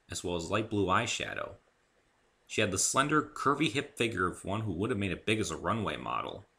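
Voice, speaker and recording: A man giving an expressive first-person dramatic reading of scripted fiction as its narrator. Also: sits low, vocal fry. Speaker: author reading own story.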